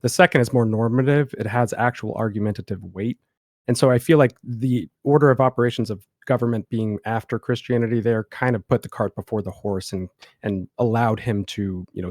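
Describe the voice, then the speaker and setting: A man's voice: fairly low pitch, steady tone, a little fast, a little mellow, dry recording, clean studio sound. A man calling in to give an analytical, hedging critique with many fillers. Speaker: caller. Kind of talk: casual call-in critique.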